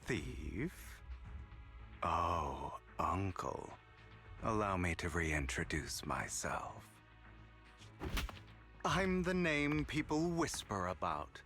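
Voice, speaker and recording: Suave gentleman thief for a game: low, bright, swooping delivery, proud and theatrical. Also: sing-song, drawn-out words, long pauses, soft background music, full character voice. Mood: grandiose, mocking. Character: theatrical, proud young master thief and con artist. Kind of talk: video game voice acting.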